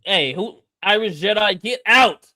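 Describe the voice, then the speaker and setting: A man's voice: high-pitched, lively intonation, unhurried, sharp tone, dry recording, clean studio sound. An American man of thirty co-hosting a livestream with casual, joking chat, boisterous and slangy, repeating phrases for emphasis. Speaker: livestream co-host.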